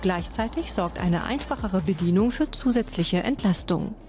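A woman narrating a TV news report in a measured, even reading style. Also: mid-range voice. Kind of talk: scripted voice-over narration. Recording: voice-over with faint music behind.

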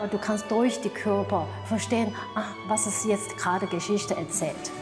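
Woman, halting. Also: mid-range voice. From Taiwan. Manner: halting, searching for words, many fillers. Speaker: dance artist. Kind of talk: interview statement.

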